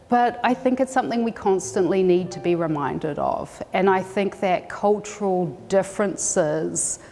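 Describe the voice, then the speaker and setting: Woman scholar, interview; low-pitched, measured, hesitant, with reverberant sound. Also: creaky, a little mellow, slight background noise. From New Zealand. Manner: thoughtful, hesitant with frequent ums and restarts. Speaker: scholar and educator. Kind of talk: reflective interview answers.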